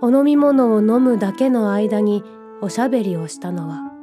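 A woman playing a gentle, dreamy young narrator in a scripted radio drama, with a soft, reflective storytelling manner. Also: medium pitch, normal speed, over music, close to an everyday voice. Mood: reflective, tender.

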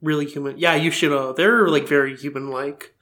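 The sharp, slightly high-voiced guy at a fan roundtable podcast who explains how it all fits together. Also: fairly even intonation, brisk. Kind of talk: casual fan discussion.

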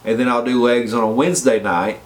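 A man's voice: medium pitch, fairly even intonation, fairly quick. A man with a head cold, sounding congested, giving casual fitness advice in a chatty way and often checking in with the listener. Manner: chatty, repeats points and checks in with "okay?". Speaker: fitness vlogger. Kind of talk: casual advice talk to camera.

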